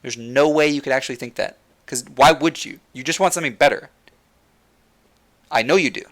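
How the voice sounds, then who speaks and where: Young male streamer, bright tense voice, fired-up and repetitive delivery, voice going hoarse. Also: mid-range voice, brisk. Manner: passionate, repeats words while thinking. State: losing his voice. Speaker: livestream host. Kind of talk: casual gaming rant to chat.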